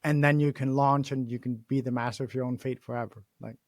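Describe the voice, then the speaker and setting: A man from Denmark with a Danish accent, hosting an interview in an animated, chatty way with frequent laughs. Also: mid-range voice, fast talker, dry recording, clean studio sound. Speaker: podcast host. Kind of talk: casual interview conversation.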